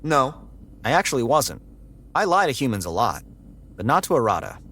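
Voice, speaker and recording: An actor playing a guarded, socially averse security android who tells its story in a dry, sarcastic, deadpan way. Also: male voice, medium pitch, moderate pace, a little hiss or hum. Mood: flat, guarded. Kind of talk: first-person audiobook narration and dialogue.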